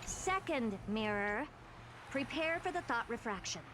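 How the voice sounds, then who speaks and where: Haughty high-voiced genius woman. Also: animated, measured pace, background noise. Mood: commanding. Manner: commanding, theatrical, self-satisfied. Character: haughty, self-assured female genius scholar, imperious and impatient. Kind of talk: video game voice acting.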